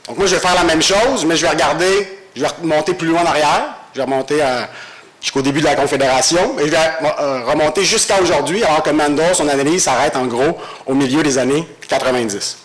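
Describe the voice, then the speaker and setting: A man lectures on law at a university colloquium. He has a cold and explains his points in a steady, measured way. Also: fairly high pitch, poor audio. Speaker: guest lecturer, law professor. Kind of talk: academic lecture.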